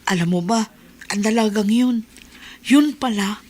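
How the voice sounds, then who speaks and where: An actress playing a nosy, gossipy wife in a radio drama. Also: female voice, medium pitch, a little slow, mellow, close to an everyday voice.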